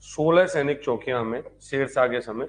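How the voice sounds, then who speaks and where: Male history lecturer, mid-pitched voice, dictates in repeated phrases and poses questions he then answers. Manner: repeats phrases slowly for note-taking; asks and answers his own questions. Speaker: history teacher. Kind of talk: live exam-prep lecture with dictation.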